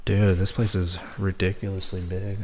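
A man's voice: low-pitched, flat delivery, normal speed, darkish tone. A man casually narrating a walkthrough of an abandoned house, recorded on a handheld camera mic indoors. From Texas. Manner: laid-back, remarks on what he sees. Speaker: urban explorer host.

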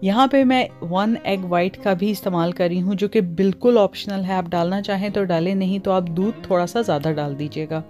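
A woman's voice: mid-range voice, brisk, hardly any pauses, dark tone, faint music behind. A woman with a Pakistani accent hosts a cooking tutorial in voice-over, mixing English words and phrases into her talk. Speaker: cooking channel host.

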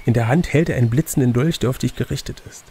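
A man's voice: medium pitch, fast, warm tone, a little hiss or hum. A man reading out an adventure gamebook as game master, slipping between narration and playful, teasing casual banter.

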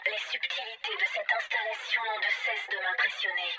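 An actress playing a melancholic, pensive AI guardian in a video game, speaking in a measured, questioning, philosophical way through a synthetic processed effect. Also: female voice, medium pitch, huge pitch swings, over music. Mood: awed, ominous. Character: melancholic, pensive female AI guardian of an ancient alien installation. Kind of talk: scripted dramatic game monologue.

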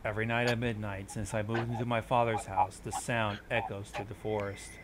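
A man's voice: fairly low pitch, little pitch movement, a little fast, background noise. A man hosting a reaction show, reading on-screen text aloud and adding commentary.

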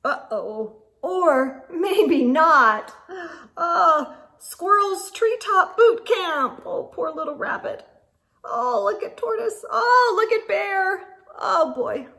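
A middle-aged woman reading a picture book aloud to young children, expressively, with dramatic pauses and exclaimed asides. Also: very high-pitched, expressive, pushed and bright. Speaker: children's storytime host. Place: small indoor room with bookshelves.

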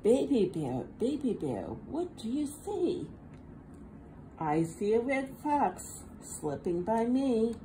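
A woman reads a picture book aloud for little children in a sing-song, repetitive rhythm. Her voice is soft-edged and mellow, with very lively swings of pitch. It is recorded indoors with faint room noise behind her. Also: slow pace, low-quality recording. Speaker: children's storybook reader. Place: indoors at a table.

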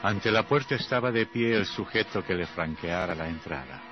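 Deep-voiced man narrating radio drama. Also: animated, moderate pace. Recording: dull vintage radio recording. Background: music.

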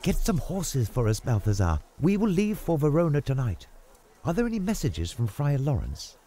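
A man's voice: a little high, wildly swooping pitch, normal speed, mellow, voice pushed up. A man voicing a resolute young nobleman, urgent and decisive, in a story drama. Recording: music under the voice.

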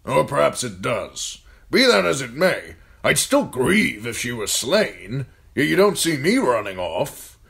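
A man voicing a gruff older military commander in an audiobook, talking bluntly and firing off needling questions. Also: medium pitch, big pitch swings, measured pace, few pauses, a bit bright, pitched above the performer's own voice, exaggerated voice. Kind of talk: audiobook character voice.

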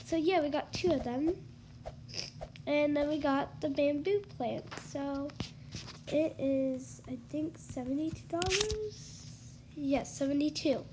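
A young woman talks through her game build in a high, stuffy voice, congested from allergies. She takes her time, trailing off with ums and likes. Steady noise hums under her voice. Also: slow pace. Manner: chatty, hesitant, lots of ums and likes. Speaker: gaming YouTuber. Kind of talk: casual game-build tour voice-over.

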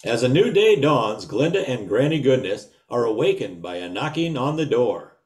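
Radio serial narrator played by an older man: mid-pitched, highly animated, dramatic storytelling. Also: moderate pace, reverberant, natural voice. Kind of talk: old-time radio narration.

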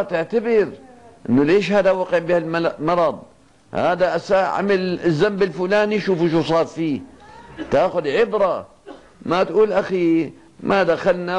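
An older man with a Levantine Arabic accent giving a Ramadan evening sermon in a mosque, speaking in a fatherly way with slow, emphatic repetition. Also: sits high, a little mellow. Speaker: sheikh. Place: mosque, seated at a pulpit desk. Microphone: two stand microphones on the desk.

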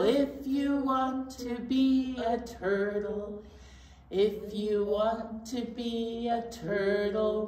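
A middle-aged woman presenting storytime to young children, animated and encouraging as she talks and leads action songs. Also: medium pitch. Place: indoor library storytime set. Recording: roomy, distant mic, faint noise.

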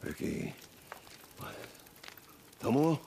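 An actor playing a gruff, sick, stubborn biker in a video game, with a gravelly, woozy delivery. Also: male voice, slow pace, dark tone, slight background noise, close to an everyday voice. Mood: confused, weary. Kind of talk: cinematic video game acting.

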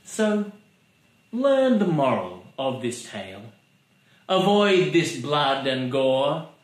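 Guy doing a slow theatrical poem reading, mock serious, kinda roomy sound. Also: fairly high pitch, big pitch swings. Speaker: poetry reader. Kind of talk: dramatic comic poetry reading. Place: living room by a fireplace.

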